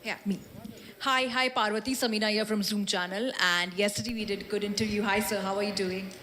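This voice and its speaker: A woman reporter puts a brisk, friendly question to the stage. Her voice rings through a large hall with a long, roomy reverb, and a faint murmur of the room sits underneath. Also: medium pitch, fairly even intonation, bright, forceful tone, slight background noise. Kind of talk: press conference question. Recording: echoey hall from a distance.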